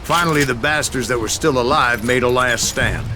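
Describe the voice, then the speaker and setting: A man's voice: medium pitch, normal speed, noisy background, lightly acted. An actor playing a boastful, folksy old gunslinger telling his story in a drawling American Western accent. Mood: recounting, firm. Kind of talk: dramatic storytelling narration in a Western game. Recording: voice over loud music.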